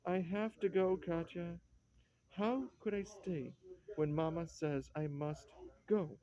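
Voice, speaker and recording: An actor playing a weak, harried husband in a classic stage drama, exasperated and hesitant. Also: male voice, on the high side, fairly even intonation, takes it slowly, warm tone, highs cut off. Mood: helpless. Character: weak, harried husband, henpecked by his mother.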